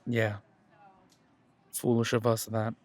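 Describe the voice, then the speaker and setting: A man playing a protective, dutiful werewolf pack leader in an audio drama, speaking in an earnest, measured way with dry humour. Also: medium pitch, completely flat delivery, lightly acted. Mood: dryly amused. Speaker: voice actor in audio roleplay. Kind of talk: scripted audio roleplay drama.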